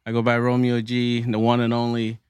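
A young man from Liberia, a DJ guest on a podcast, telling his story in a calm, even way. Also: medium pitch, a little fast, clean tone, no echo. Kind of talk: casual podcast interview.

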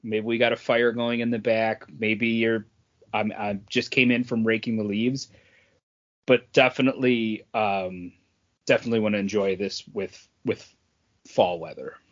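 A man talking casually as a podcast co-host, halting often and pausing between short phrases. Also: on the low side, little pitch movement, highs cut off. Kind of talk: casual chat, informal beer tasting.

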